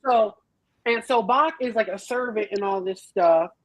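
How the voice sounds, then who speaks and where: A woman explaining things emphatically and with salty language in an animated, casual group discussion on a podcast. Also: medium pitch, normal speed, no room sound, low-quality recording. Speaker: podcast panellist.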